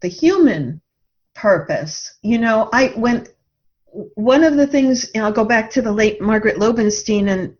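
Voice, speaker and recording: Middle aged lady on a podcast, highish voice, thoughtful and earnest, kinda dull lofi sound. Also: darkish tone. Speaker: podcast guest, life coach. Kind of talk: reflective interview conversation. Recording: dull lo-fi audio.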